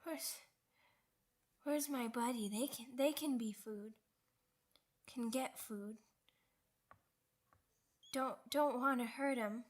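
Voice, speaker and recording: A young werewolf pup girl, played by a woman in an ASMR roleplay, speaking very slowly in a fairly high voice. She is timid and sweet, with hesitant, childlike phrasing and small stammered repeats. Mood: dazed, hungry, struggling.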